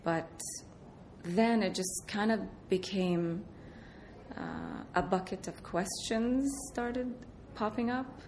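Soft-spoken woman from Iraq. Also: mid-range voice, takes it slowly, slight background noise. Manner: soft-spoken, laughs often, hesitant fillers. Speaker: artist, interview guest. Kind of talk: casual interview answers.

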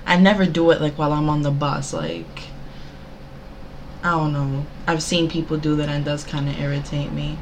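Low-voiced young woman, blunt and sweary. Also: flat delivery, normal speed, a little hiss or hum. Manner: blunt, swears freely, soft-spoken. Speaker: co-host. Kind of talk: casual chat.

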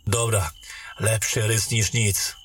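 A man playing a persistent, wry royal captain with a husky voice in a fantasy audio drama. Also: on the low side, little pitch movement, slow pace, a bit bright, a little hiss or hum. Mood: insistent.

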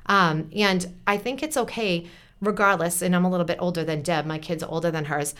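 A woman's voice: lowish voice, brisk, fairly bright tone, clean tone. A woman in her forties with a Boston-area accent, chatting earnestly as a podcast guest and giving encouraging, motivational advice. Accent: Boston-area American. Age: 40s. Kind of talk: casual chat.

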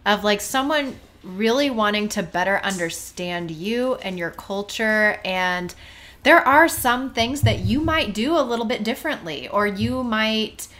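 A woman hosts a conversational interview. She speaks at an ordinary middle pitch and frames long, thoughtful questions that wander a little before reaching their point. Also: expressive, measured pace, a bit bright. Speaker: interview host.

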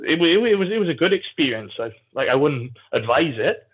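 A man's voice: fairly high pitch, very animated, fast, husky. A man with a South Wales Valleys accent chatting casually and candidly as a podcast guest. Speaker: podcast guest, jiu-jitsu athlete. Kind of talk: casual conversational storytelling. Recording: muffled phone-like call audio.

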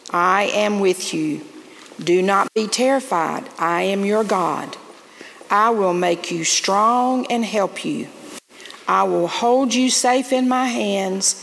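A woman giving a gentle, coaxing children's message and prayer in church. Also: mid-range voice, vocal fry. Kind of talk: children's sermon. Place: church sanctuary. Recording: reverberant church sanctuary with faint noise.

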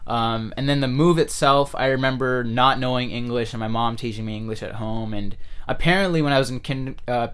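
A man's voice: mid-range voice, fairly quick, fairly bright tone, smooth. Rambling young man telling childhood stories. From India. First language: Tamil. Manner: rambling storyteller, many fillers and restarts. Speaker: guest. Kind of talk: casual interview chat.

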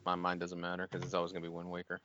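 A man's voice: low voice, completely flat delivery, racing, pushed and bright, dull audio. A man co-hosting a gaming podcast, chatting casually with sardonic, sweary remarks. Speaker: podcast co-host. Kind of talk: casual chat about video games.

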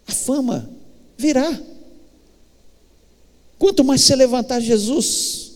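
A man with a Brazilian accent preaching a church sermon, exhorting emphatically with deliberate pauses. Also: extremely high pitch, big-room sound. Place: church, live worship service.

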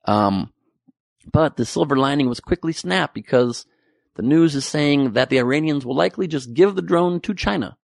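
A man's voice: on the high side, animated, moderate pace, vocal fry, dry recording, clean studio sound. A young man giving a comedic, profane monologue about the news with joking asides. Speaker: comedy commentary host.

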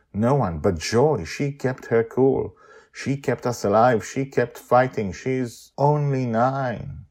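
A man's voice: lowish voice, a little mellow, voice pushed up, natural voice. A man voicing an earnest, admiring young French woman athlete in an audio story.

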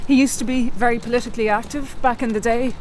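An actress plays a middle-aged academic woman in a radio drama. Her voice sits a little high, dry and sceptical, with exasperation close to the surface. Some noise runs behind her. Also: fairly even intonation, quick pace, darkish tone, lightly acted. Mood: earnest, defensive. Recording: noticeable background noise.

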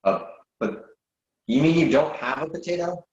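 A man acting a reluctant, sensible friend with a halting, incredulous manner in a readers theatre comedy, recorded in an echoing room. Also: fairly high pitch, lively intonation, darkish tone, muffled recording, natural voice. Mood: resigned. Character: exasperated, reluctant male friend, sensible but awkwardly polite.

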